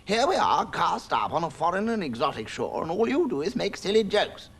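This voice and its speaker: A man voicing a pompous, know-it-all male mouse in a storybook, high and self-important. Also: very animated, fast talker, a bit bright, breathy edge, heavily acted. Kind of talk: storybook character voice.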